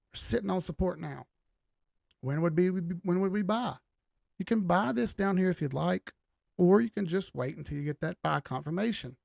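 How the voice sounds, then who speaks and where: Gravelly man teaching chart trading live. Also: on the high side, warm tone, no echo, clean studio sound. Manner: chatty, repeats "okay?" to check in with viewers. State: voice going hoarse from a cold. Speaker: trading chart analyst hosting a livestream. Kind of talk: casual livestream chart lesson.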